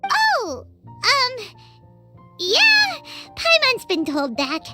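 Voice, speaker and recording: A woman voicing a small, childlike fairy companion in an anime game, cutesy and chatty with a squeaky voice. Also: huge pitch swings, extremely slow, heavily acted. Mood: hesitant, sheepish. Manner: speaks of herself in the third person, cutesy. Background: music.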